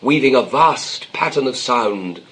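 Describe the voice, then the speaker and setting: A man's voice: mid-range voice, animated, unhurried, fairly bright tone, a little hiss or hum. A pompous, swooping novelist father. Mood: grandly declaiming. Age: middle-aged. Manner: pompous, declamatory. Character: irritable, self-important novelist father. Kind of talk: period stage comedy radio acting.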